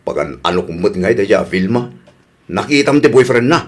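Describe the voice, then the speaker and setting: A man playing a lovelorn, jealous young ex-boyfriend in an Ilocano Filipino radio comedy, with a husky voice. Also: on the low side, animated, moderate pace. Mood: jealous, defensive. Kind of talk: comedy radio drama acting.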